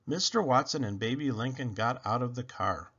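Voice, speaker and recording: A man narrating a children's book aloud in an expressive, dramatic, emphatic style. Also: medium pitch, normal speed, muffled recording. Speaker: storybook reader.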